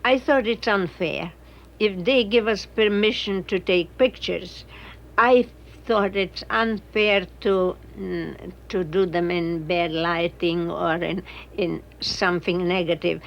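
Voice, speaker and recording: A woman photojournalist reflecting in a documentary interview, with halting, non-native phrasing. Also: lowish voice, fairly even intonation, creaky, lo-fi sound.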